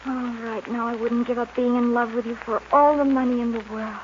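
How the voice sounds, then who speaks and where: Actress as high-voiced lovesick ranch girl. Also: fairly even intonation, fairly quick, lightly acted. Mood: earnest, loving. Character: lovesick young ranch daughter, devoted and earnest. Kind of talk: 1940s western radio drama acting. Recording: muffled hissy 1940s radio recording.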